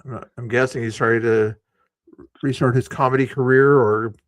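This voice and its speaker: A man's voice: mid-range voice, steady tone, moderate pace, no echo. A man hosting a live stream, chatting in a laid-back way and speaking to his viewers. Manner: laid-back, talks to the chat. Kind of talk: casual live-stream chat. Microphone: streaming microphone.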